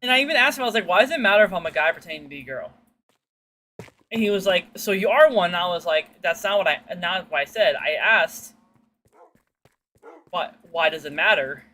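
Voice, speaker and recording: A woman's voice: fairly quick, long pauses. A woman chatting casually as a streamer, telling stories in a slangy, sweary way and acting out what people said. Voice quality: fairly deep-sounding for a woman. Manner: slangy, sweary, animated retelling with acted-out quotes. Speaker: streamer chatting with viewers while gaming. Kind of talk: casual chat, rambling storytelling.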